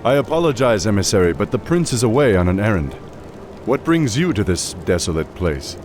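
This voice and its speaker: An actor playing a loyal, plain-spoken army captain in a fantasy game, earnest and soldierly with a respectful manner. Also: male voice, on the low side, very animated, a little fast, darkish tone, a little hiss or hum.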